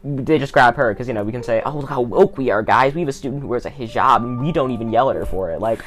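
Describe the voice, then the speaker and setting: A man's voice: medium pitch, fast talker, fairly bright tone, soft background music. A teenage male with an American accent, hosting a casual interview podcast with sarcastic asides.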